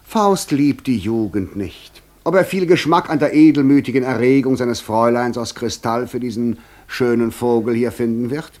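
A man's voice: mid-range voice, normal speed, few pauses, a little mellow, natural voice. Suave devil, acted by a man, mocking, ironic, theatrically courteous, classic radio drama. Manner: sly, ironic, theatrically polite. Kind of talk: classic German radio play acting.

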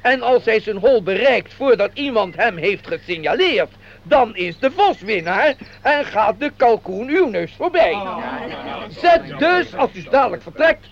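A man's voice: extremely high pitch, sing-song, a little slow, heavily acted. A man acting a fussy, jolly outing organiser in a Dutch radio play, talking like a formal announcer with chuckles. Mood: pompous, teasing. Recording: dull radio play recording, busy group behind.

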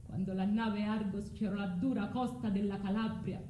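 A woman's voice: medium pitch, fairly even intonation, normal speed, dark tone, a little hiss or hum, close to an everyday voice. An actress playing Medea, a betrayed foreign wife and mother, proud and wounded, in an emphatic, declamatory theatrical monologue. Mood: bitter, restrained. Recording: roomy stage sound with music.

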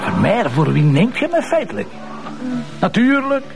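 A man with a Flemish accent playing a gruff, sarcastic, short-tempered middle-aged police commissioner in a TV crime drama. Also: high voice, huge pitch swings, normal speed, full character voice. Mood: lecturing, irritated. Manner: blunt, colloquial, hectoring. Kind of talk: naturalistic TV crime drama acting.

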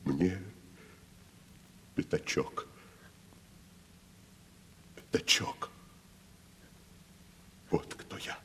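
An actor in a radio play voicing a grandiose, tormented middle-aged wandering drunkard, declamatory, with dramatic pauses and drops into whispers. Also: male voice, low voice, wildly swooping pitch, pushed and bright, heavily acted. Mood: bitter remorse. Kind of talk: theatrical Russian radio play acting. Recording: faint hiss of 1970s radio recording.

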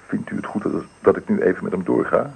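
An actor playing a genial, playful child specialist in a radio drama, with an easygoing, coaxing manner. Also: male voice, low voice, quick pace, vocal fry, darkish tone, husky, exaggerated voice, low-quality recording. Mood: genial, reassuring.

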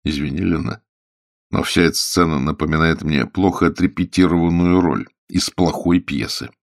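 A man reading an audiobook, voicing a calm, stubborn male journalist with a dry, restrained manner. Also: rumbling low, moderate pace. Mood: quiet, weary. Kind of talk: audiobook character dialogue.

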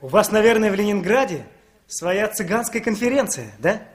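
A man's voice: high voice, lively intonation, moderate pace. A man playing a talkative, brash young cook down on his luck in a 1960s radio drama, boastful and plaintive. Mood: breezy, boastful. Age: young adult.

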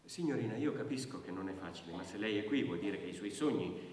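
Guy acting patient cop taking a statement, formal n measured, low voice, far from mic in echoey hall. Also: lively intonation, a little mellow, close to an everyday voice. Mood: probing, patient. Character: patient, procedural male police sergeant taking a statement. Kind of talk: theatrical radio drama dialogue. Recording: distant, echoey hall with faint noise.

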